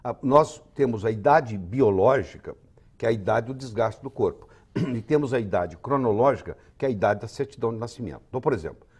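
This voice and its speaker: A man's voice: mid-range voice, lively intonation, brisk, a little mellow, breathy edge. A man in his sixties with a Brazilian accent, a physician giving confident, lecturing explanations as an interview guest. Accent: Brazilian Portuguese. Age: 60s.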